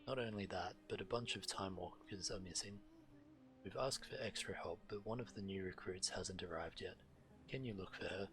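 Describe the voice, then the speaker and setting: Low-voiced man reading game quests aloud. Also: moderate pace, a bit bright. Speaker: game streamer. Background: game music.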